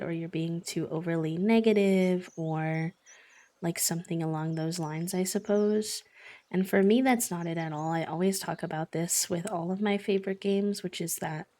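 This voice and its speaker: A woman streaming a game, chatting casually and thoughtfully with many fillers, her voice slightly croaky from just waking up. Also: lowish voice, darkish tone. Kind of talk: casual livestream chat while playing.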